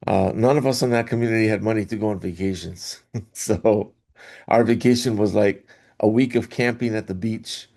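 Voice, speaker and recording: A middle-aged man from Hawaii, a guest in an interview, telling his story in a rambling way with restarts and soft laughs. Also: on the low side, moderate pace, vocal fry. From Hawaii, Oahu. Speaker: guest, athletic director association executive. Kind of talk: casual interview talk.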